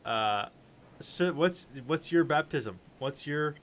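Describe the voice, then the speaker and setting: A man's voice: fairly high pitch, animated, slow, a bit bright. A man hosting an interview in a casual, wry and slangy conversational way. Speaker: interview show host.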